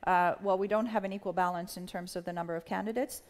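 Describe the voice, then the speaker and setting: A middle-aged woman from the United States, speaking on a panel in a measured way with many fillers and restarts. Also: lowish voice, steady tone. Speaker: panelist, company executive. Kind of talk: panel discussion. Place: large hall with a live audience. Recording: long hall reverb.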